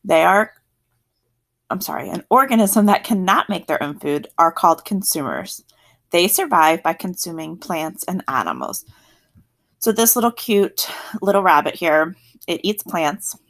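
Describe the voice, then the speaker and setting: A woman's voice: fairly low pitch, expressive, a little slow, fairly bright tone. A woman teacher with a South Jersey accent giving a recorded science lesson, explaining in a friendly, chatty way and correcting herself as she goes.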